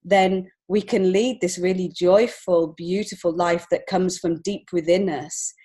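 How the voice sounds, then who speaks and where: A woman's voice: lowish voice, fairly even intonation, a little slow, mellow, husky. A woman in her forties with an English accent, telling her personal story as a podcast guest in an earnest, reflective way. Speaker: podcast guest, children's author and illustrator.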